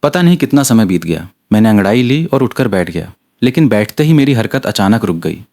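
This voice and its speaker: A man's voice: fairly low pitch, very animated, brisk, a little mellow. A man reading a scripted story aloud as an audiobook narrator.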